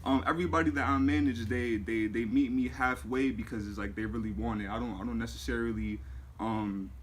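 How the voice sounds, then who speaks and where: Laid-back man in his twenties, interview guest. Relaxed and rambling, with faint room hiss. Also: medium pitch, a little fast. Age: 20s. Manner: laid-back, repeats "you know what I'm saying", lots of fillers. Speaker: music manager. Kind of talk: casual interview. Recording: faint background noise.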